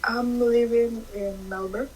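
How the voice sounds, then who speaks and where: A young woman who is a student, chatting casually and answering quietly in short phrases. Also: fairly high pitch, fairly even intonation, takes it slowly, breathy edge, low-quality recording. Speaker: student in a chat. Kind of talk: casual chat.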